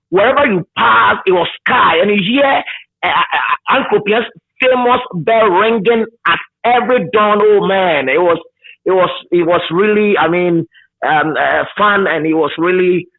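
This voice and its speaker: A man's voice: high voice, moderate pace. A man with a Ghanaian accent reminiscing in an interview, emphatic and repetitive with searching starts, over a tinny call line. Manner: emphatic, repeats words, hesitant searching starts. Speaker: radio presenter being interviewed. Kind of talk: reminiscing in conversation.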